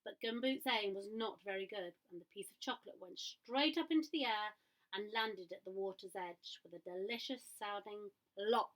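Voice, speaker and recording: A woman reading a picture book aloud to children in an expressive way, pausing to ask her listeners questions. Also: medium pitch, poor audio. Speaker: storyteller.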